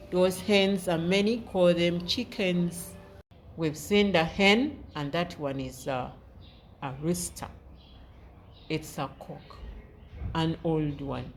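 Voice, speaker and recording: Slow, patient woman teacher. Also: on the low side, slight background noise. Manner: patient, repeats words and spells them out. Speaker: primary school teacher. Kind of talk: slow children's lesson.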